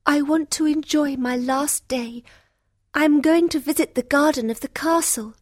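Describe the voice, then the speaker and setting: An actress playing a gentle, kind-hearted young storybook heroine, speaking earnestly, politely and with careful enunciation. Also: female voice, high voice, a little slow, dry recording, studio quality. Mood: fearful, wistful. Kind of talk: dramatised storybook reading for learners.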